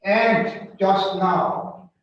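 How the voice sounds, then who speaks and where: An older Danish man with a fairly high voice for a man, reading his lecture slowly and carefully. His words come from far off the mic in a very echoey museum hall, and the sound is dull. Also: fairly even intonation. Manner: slow and careful, reading closely from a manuscript. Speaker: guest lecturer.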